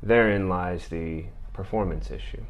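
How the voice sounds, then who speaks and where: A middle-aged man with a deep voice, hosting a podcast and talking through an argument in a measured, thoughtful way. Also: highs cut off. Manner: measured, thoughtful delivery with occasional fillers. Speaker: podcast host. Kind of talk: reading and commenting on an argument.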